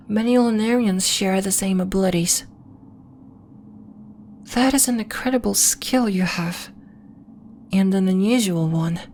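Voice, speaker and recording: A woman playing a gentle, earnest alien healer from the moon in an ASMR roleplay, speaking in a soft, measured, formal and reassuring way. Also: medium pitch, close to an everyday voice. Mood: explaining. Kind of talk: ASMR roleplay, scripted character acting.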